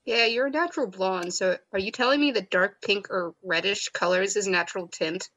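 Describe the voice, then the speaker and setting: A woman voicing a kind young college student who is earnest and quick to sympathize. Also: medium pitch, fairly bright tone, natural voice.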